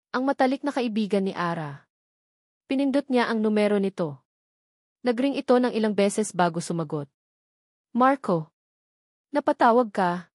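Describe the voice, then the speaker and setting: A woman storyteller narrates a dramatic love story. Her voice sits at an average female pitch and moves in measured, earnest phrases. The recording is very dry, with no sense of room. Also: darkish tone, dry recording.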